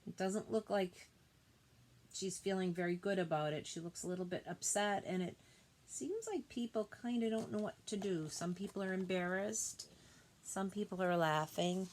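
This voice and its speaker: A woman teacher reading a children's picture book aloud expressively, pausing to explain things gently and simply to young listeners. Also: fairly low pitch.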